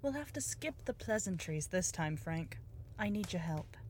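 A businesslike female detective in a noir audio drama. The voice is a bit low, cool and pointed, pressing with determination. Faint ambience sits behind it. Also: sing-song, normal speed, a little hiss or hum.

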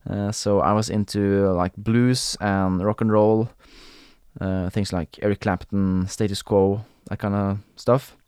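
A young man from Norway hosting a podcast in English with a Norwegian accent, talking casually with frequent pauses and fillers. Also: lowish voice, clear, resonant tone, no room sound, studio quality. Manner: hesitant, many fillers and restarts, laughs often. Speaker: podcast host. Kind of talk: casual solo podcast talk.

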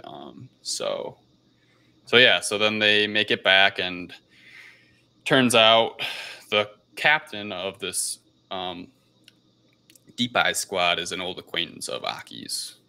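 Male podcast host, very low and creaky, loose hesitant film chat. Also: measured pace, bright, forceful tone. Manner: hesitant, unscripted, rambling delivery. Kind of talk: casual podcast film review.

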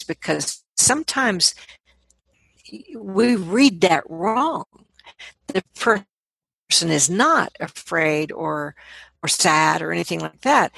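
A woman's voice: on the low side, vocal fry, fairly bright tone, slightly rough, no echo. A woman speaking as a vocal coach in a conversational interview, explaining her points with emphasis and repeating words to drive them home.

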